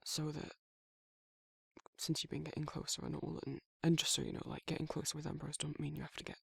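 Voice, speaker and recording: A man playing a protective, awkward but tender werewolf in an intimate ASMR roleplay, speaking in a hesitant, husky British murmur. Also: fairly high pitch, monotone, no echo, heavily acted. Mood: earnest, reassuring. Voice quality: husky, gravelly, breathy. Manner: halting, hesitant, self-correcting, softly murmured.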